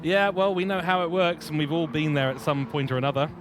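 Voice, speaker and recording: A man calling a sim race live as lead commentator, lively and playful, with self-deprecating asides. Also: fairly high pitch, quick pace. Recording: steady background noise.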